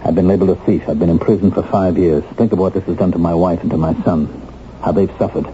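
A man's voice: deep voice, steady tone, quick pace, mellow, a little hiss or hum, lightly acted. An American actor playing a bitter, determined middle-aged man just freed from prison, speaking earnestly and emphatically in 1970s radio drama style. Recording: dull vintage radio recording with music.

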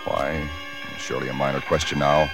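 An actor playing a haunted, secretive husband who narrates a horror in halting, dramatic 1940s radio style. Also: male voice, very deep voice, level tone. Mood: tense foreboding. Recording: 1940s radio broadcast recording, loud music behind.

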